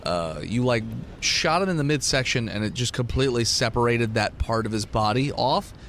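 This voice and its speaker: A man's voice: medium pitch, animated, moderate pace, a bit bright, a little hiss or hum. A man acting as game master, casually improvising combat descriptions and joking with his players. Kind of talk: casual improvised tabletop game narration and banter.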